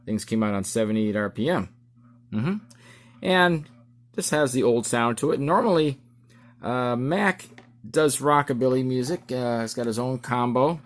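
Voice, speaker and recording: A middle-aged man giving a casual show-and-tell as an amateur musician, in a halting, rambling way. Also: medium pitch. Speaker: amateur musician presenting his record.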